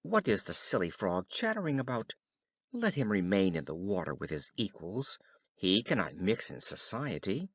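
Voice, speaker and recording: A man voicing a spoiled, disdainful young princess in a storybook, with expressive phrasing. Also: on the low side, moderate pace, dry recording, voice pushed down.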